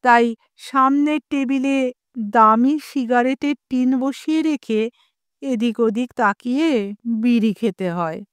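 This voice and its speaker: A woman narrating a scripted story in a measured, expressive way, as an audio storyteller. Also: fairly high pitch, clear, resonant tone, no echo.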